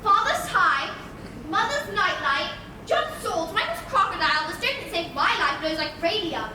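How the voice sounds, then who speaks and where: A woman's voice: extremely high pitch, sing-song, moderate pace. Stage role: protective, urgent young girl woman performing, distant and strongly echoing in a theatre. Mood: earnest. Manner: urgent, emphatic projected delivery. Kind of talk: live stage play acting. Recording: echoey theatre, distant mics, noisy room.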